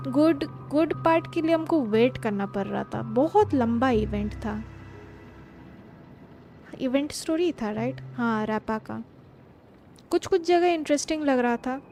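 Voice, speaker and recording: A woman's voice: fairly high pitch, darkish tone. A woman from India with an Indian accent, chatting casually on a game live stream. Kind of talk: casual live-stream chat, mixing Hindi and English. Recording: stream mic over game music.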